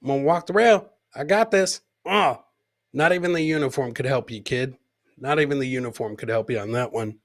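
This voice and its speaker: A man's voice: medium pitch, a little fast. A man hosting a comedy podcast, giving joking commentary on clips, repeating his phrases for effect and mimicking the people he talks about. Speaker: host of a live comedy podcast.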